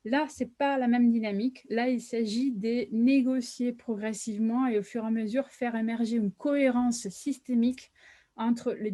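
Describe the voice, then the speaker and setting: A woman with an Italian accent, speaking French on a video call and explaining a workshop method in a measured, theoretical way with frequent hesitations. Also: a little high, dark tone, dry recording. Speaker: webinar co-host and facilitator. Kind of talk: explanatory webinar presentation.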